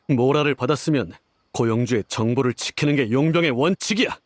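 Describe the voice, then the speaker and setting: A man playing a stubborn, hostile mercenary thug in a video game, with a husky voice. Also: medium pitch, normal speed, dry recording, clean studio sound, lightly acted. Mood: defiant, sneering.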